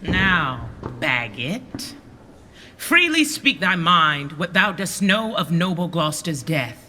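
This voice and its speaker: Stern usurping lord, high piercing voice, slow declamation, long hall reverb, radio play. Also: male voice, very animated, sharp tone, a little hiss or hum, exaggerated voice. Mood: stern, commanding. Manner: declamatory, deliberate. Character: commanding, formal usurping lord holding court. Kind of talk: Shakespearean radio play acting.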